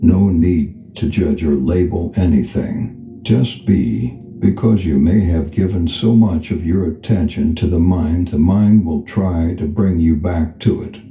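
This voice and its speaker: A man leading a guided meditation as a calm spiritual teacher, speaking in a gentle, unhurried, instructional manner. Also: low-pitched, narrow, tinny audio. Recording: echoey reverb over background music. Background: music.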